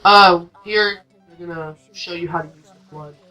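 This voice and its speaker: A woman's voice: lowish voice, steady tone, moderate pace, sharp tone, dull audio, faint music behind. A woman giving casual, rambling, unscripted commentary as host of a gameplay video. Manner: rambling, informal.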